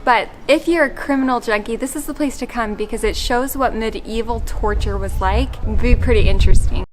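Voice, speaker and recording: A woman's voice: a little high, a little fast. A young American woman chatting casually as a travel vlogger. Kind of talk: casual vlog chat. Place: outdoors in a town and gardens. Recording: outdoor ambience with clear background noise.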